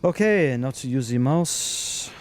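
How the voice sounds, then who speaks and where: A man's voice: mid-range voice, expressive, slow. A man voicing a weary, increasingly exasperated computer helpline operator with a French accent in a comic audio drama.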